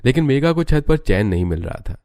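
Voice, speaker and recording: A man's voice: mid-range voice, big pitch swings, a little fast, a little mellow, a little hiss or hum. A man reading scripted narration for a family drama story.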